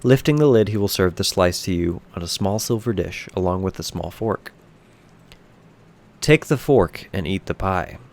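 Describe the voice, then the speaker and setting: A man reading a scripted second-person bedtime story in a measured, solemn storytelling manner. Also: low voice, expressive, darkish tone.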